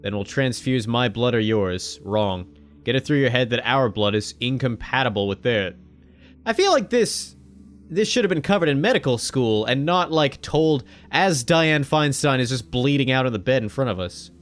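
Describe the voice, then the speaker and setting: A man's voice: expressive, moderate pace. Joking gamer man with faint background music. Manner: joking, irreverent, swears casually; jumps to sudden loud high exclamations. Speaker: gaming video host. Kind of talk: casual let's-play commentary, reading game text aloud.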